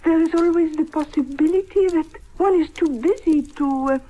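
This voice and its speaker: Woman playing a grand, high-voiced scheming lady. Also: normal speed, mellow, lightly acted. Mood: sly, insinuating. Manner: affected, insinuating. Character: grand, calculating society lady feigning grief for profit. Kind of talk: old-time radio comedy acting. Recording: noisy old radio drama recording.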